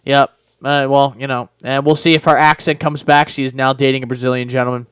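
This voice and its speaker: A man's voice: medium pitch, level tone, fairly quick, a bit bright, smooth. A man co-hosting a podcast, giving casual betting picks and rambling with lots of fillers and restarts. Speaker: podcast co-host. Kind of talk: casual sports betting chat.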